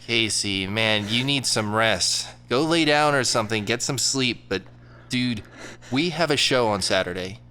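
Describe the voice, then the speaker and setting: A man's voice: medium pitch, a little slow, pushed and bright, a little hiss or hum. An actor playing a casual, slangy young man in a band, in an audio drama. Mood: worried. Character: laid-back young male band member.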